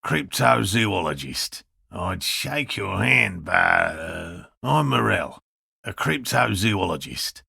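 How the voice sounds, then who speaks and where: Casting: fussy, proud cryptozoologist for a video game. Lowish, very creaky voice, bookish and formal delivery. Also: male voice, very animated, slow, pushed and bright, no echo, clean studio sound, exaggerated voice. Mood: earnest, matter-of-fact. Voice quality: gravelly, husky. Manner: precise, bookish, formal wording. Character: earnest, fussy cryptozoologist, a proud outsider scientist. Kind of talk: video game dialogue acting.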